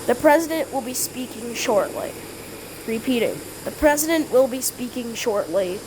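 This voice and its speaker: A woman with a high voice plays an emergency alert announcer in an amateur improvised scenario. Her delivery is halting and repeats itself. The home recording carries clear noise. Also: lively intonation.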